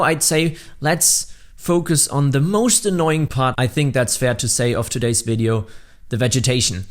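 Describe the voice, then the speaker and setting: A man presenting his hobby build as a video host, explaining it step by step and talking directly to viewers. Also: mid-range voice. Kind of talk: narrated hobby build showcase.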